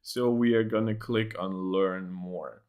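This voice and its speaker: A man's voice: low voice, fairly even intonation, slow pace, a little mellow. A young man hosting a casual tech reaction livestream from a home setup on a desk mic, rambling with many ums and restarts.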